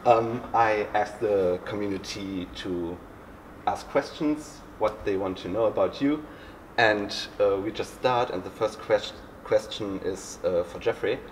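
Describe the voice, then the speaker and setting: A man's voice: lowish voice, measured pace, slight background noise, rough recording. A man with a German accent hosting an interview, asking questions in a friendly, hesitant way with many fillers. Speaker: interviewer, channel host. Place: backstage room at a theatre.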